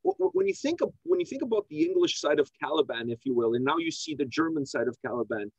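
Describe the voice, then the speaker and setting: A man hosting an interview, asking long, enthusiastic, rambling questions. His voice is fairly high and animated, with a gravelly edge, and it sounds dry and close over a video call. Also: fast, no room sound, dull audio. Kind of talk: casual interview.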